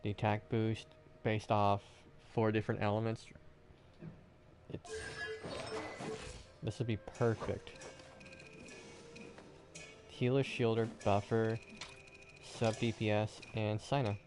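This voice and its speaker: A man's voice: on the low side, level tone, takes it slowly, pauses often, darkish tone, faint music behind. A man doing casual gaming stream commentary, rambling and thinking aloud. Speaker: game streamer.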